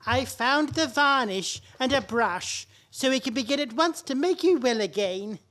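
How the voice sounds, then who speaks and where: A woman's voice: high-pitched, animated, unhurried, fairly bright tone. A woman playing a fussy, devoted and oblivious husband in a comic radio play, soothing and fussing. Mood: fussily soothing.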